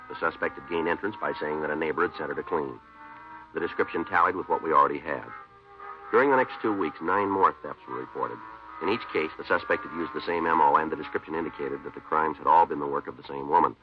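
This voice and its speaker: An actor playing a laconic, deadpan American police detective with clipped speech in an old-time radio drama. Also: male voice, extremely low pitch. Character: laconic, dry, unflappable male police detective. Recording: dull old radio recording.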